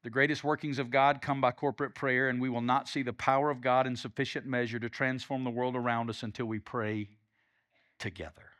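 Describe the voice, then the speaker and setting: A man's voice: medium pitch, flat delivery, a little fast, fairly bright tone, no room sound, clean studio sound. A male pastor from Alabama with a Southern accent, preaching a sermon with emphatic, conversational delivery. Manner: preaching with rising and falling intensity, emphatic, conversational asides.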